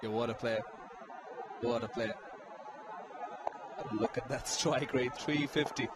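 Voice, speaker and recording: A man doing live cricket commentary, with his calls rising in excitement on big shots. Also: medium pitch, slow pace. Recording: loud stadium noise behind.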